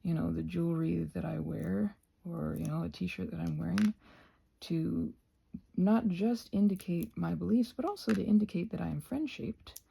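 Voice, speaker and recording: An American woman rambling casually while she does crafts, halting and trailing off into soft-spoken asides. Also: lowish voice, vocal fry, a little hiss or hum. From United States. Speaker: craft and ASMR channel host.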